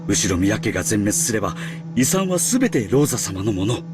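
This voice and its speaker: A man's voice: on the low side, sing-song, quick pace, music in the background. A man voicing an anxious, polite servant in a Japanese visual novel, speaking with worried deference. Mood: anxious, grave.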